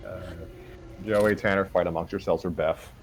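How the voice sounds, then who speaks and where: A man's voice: deep voice, steady tone, normal speed, a little hiss or hum. A man narrating a story aloud informally, as the reader of a casual group session.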